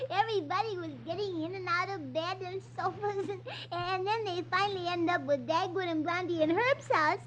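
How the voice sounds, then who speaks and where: A woman's voice: very high voice, normal speed, fairly bright tone. A woman playing a giggly, eager girlish listener on a 1950s children's radio show. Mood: delighted. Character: girlish listener delighted by funny-page stories. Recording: muffled, noisy 1950s radio recording.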